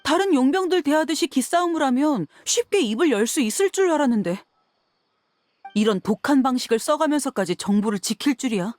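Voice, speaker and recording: A woman's voice: high-pitched, animated, fairly quick, a little mellow, soft background music. A woman playing a tough, frank and confident mercenary in a video game, with a blunt, assertive way of talking. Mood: alarmed.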